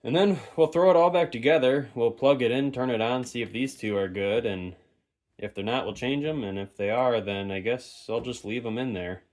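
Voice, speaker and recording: A man's voice: mid-range voice, moderate pace, a little mellow. A man casually narrating a radio repair unscripted, thinking aloud in an offhand way in a basement. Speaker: hobbyist radio repairer and presenter. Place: stone-lined basement.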